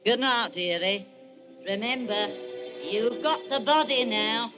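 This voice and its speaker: An actor playing a greedy, mocking, wheedling low criminal in an old-time radio drama. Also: male voice, takes it slowly, over music. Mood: taunting. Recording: muffled vintage radio recording.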